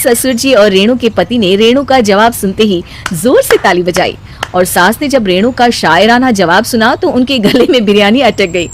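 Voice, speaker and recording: A woman's voice: on the high side, moderate pace, faint music behind. A woman narrator reading a scripted domestic comic story.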